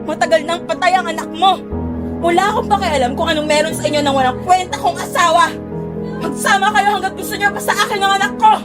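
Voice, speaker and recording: A furious, defiant mother in an audio melodrama. Her voice is extremely high, and loud music plays underneath. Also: quick pace. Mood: outraged. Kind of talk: melodramatic audio drama acting.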